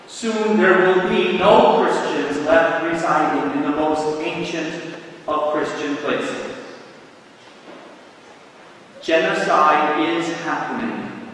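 A solemn older man preaches in a slow, measured way. His fairly high voice carries from some distance through a cavernous cathedral, with a long reverberating tail and faint room hum underneath. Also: a bit bright. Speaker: bishop. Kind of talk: sermon. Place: large cathedral, indoors. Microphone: stand mic at the lectern.

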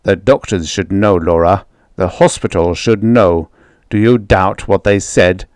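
A man playing a domineering father in a story, harsh, forceful and impatient. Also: low-pitched, measured pace, no room sound, studio quality. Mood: bitter anger. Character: stern, angry, domineering father and robot maker.

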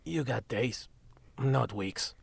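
A man's voice: mid-range voice, slow, dry recording, exaggerated voice. An actor voicing a grumpy, foul-mouthed male talking cat in an audio drama, sarcastic and blunt, tossing in Spanish words. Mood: mocking. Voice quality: gravelly and husky. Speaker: magical shop cat.

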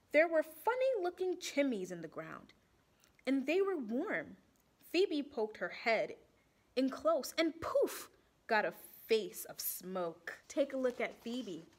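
Young lady doing storytime for kids, really swoopy voice, takes it slow. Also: sits high, slightly rough. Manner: animated, deliberate, with pauses to ask and explain. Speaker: storytime reader for children. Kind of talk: expressive read-aloud of a children's picture book. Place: small indoor room with bulletin board.

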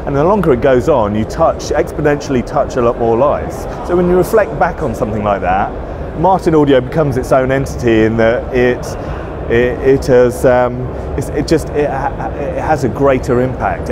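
A man in his forties, a company director, speaking conversationally and enthusiastically in an interview, often restarting his sentences. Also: mid-range voice. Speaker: managing director being interviewed. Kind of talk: conversational trade-show interview. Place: large indoor trade show floor. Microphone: lapel. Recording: noisy busy exhibition hall. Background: loud trade show ambience.

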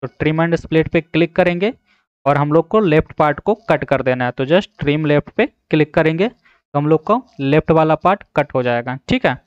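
Male tutorial narrator, smooth voice on the high side, instructional with frequent check-ins, dull close recording. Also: moderate pace, no room sound. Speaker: tutorial host. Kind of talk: step-by-step app tutorial narration. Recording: slightly dull, dry voice-over.

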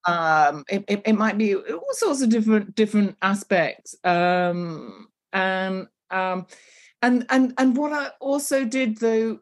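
Guest voice: woman in her fifties, halting and chatty, mid pitch, over a video call. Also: lively intonation. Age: 50s. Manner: hesitant, many fillers and restarts. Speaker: textile artist guest. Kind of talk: casual interview chat.

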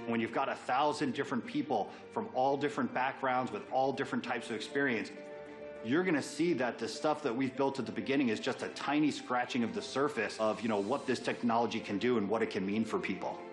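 A man's voice: medium pitch, fairly quick. A man talking conversationally as a developer in a promotional interview testimonial. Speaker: technology developer. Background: music.

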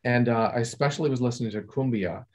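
A man from California, a composer, explaining his music in a relaxed, chatty way over a video call from his home study. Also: mid-range voice, quick pace. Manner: relaxed, chatty, restarts and fillers. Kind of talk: video-call masterclass discussion.

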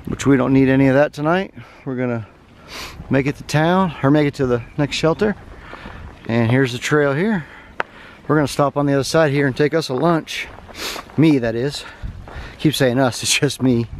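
A man in his thirties with a drawl, talking in a relaxed, folksy way to a camera held at arm's length. He is out on a wooded mountain trail and sounds worn out. Faint outdoor noise sits under his mid-pitched voice. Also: moderate pace, long pauses. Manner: folksy, easygoing, talks to the camera while hiking. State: tired. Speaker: thru-hiker vlogger. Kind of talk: casual trail vlog narration. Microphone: handheld camera at arm's length.

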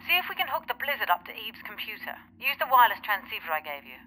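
An actress playing a brisk, tech-savvy spaceship pilot in an audio drama. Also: female voice, fairly high pitch, sing-song, pushed and bright, exaggerated voice, low-quality recording. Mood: brisk, dry. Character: brisk, technically savvy female pilot on comms.

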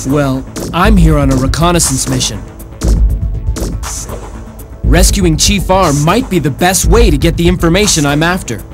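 A man's voice: on the high side, normal speed, long pauses, over music, close to an everyday voice. A man playing an earnest, urgent young android hero in a video game. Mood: serious. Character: earnest young heroic male android hunter. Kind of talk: video game cutscene acting.

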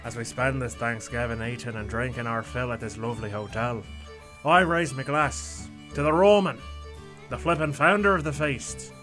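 A man's voice: medium pitch, moderate pace, over loud music, natural voice. A man playing a cocky, jovial Irish gangster in a radio drama, with a put-on Irish accent. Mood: brash, jovial. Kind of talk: fan radio drama acting.

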